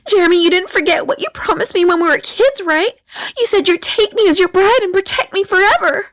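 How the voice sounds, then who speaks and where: A woman's voice: very high voice, expressive, fairly quick, a bit bright, voice pushed up. A woman voicing a scheming young mistress in an audiobook, plaintive, wheedling and clinging. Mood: pleading, coaxing. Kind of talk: audiobook character acting.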